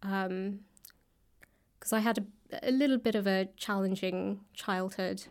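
A woman giving thoughtful, conversational interview answers, pausing often and a little hesitantly between phrases. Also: medium pitch, darkish tone. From grew up in the UK and India. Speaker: guest, author and actor. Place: indoors, upper floor of a public library.

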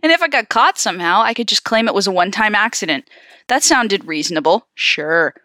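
A woman hosting a story-reading show, switching between expressive narration and slangy, sarcastic casual asides. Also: medium pitch, brisk, no room sound, studio quality. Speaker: podcast host reading horror stories aloud. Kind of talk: story read-aloud mixed with casual banter.

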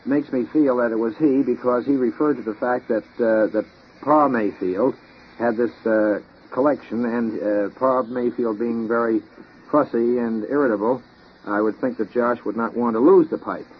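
A man answers as a guest on an old radio show in a formal, halting way. His voice is medium in pitch, on a muffled recording with faint noise. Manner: halting, careful. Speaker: guest quiz panelist, charity executive.

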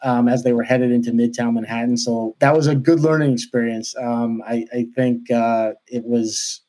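A man's voice: mid-range voice, steady tone, normal speed, mellow, low-quality recording. A man in his thirties with a light New York accent, chatting as a podcast guest and talking with many fillers and restarts. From New York area. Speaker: podcast guest, marketing professional. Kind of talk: casual interview chat.

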